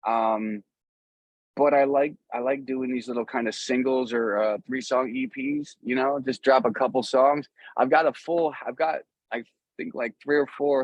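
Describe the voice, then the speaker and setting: A man's voice: medium pitch, no echo, poor audio. A man speaking casually and informally as a musician guest in an interview, rambling a little as he goes.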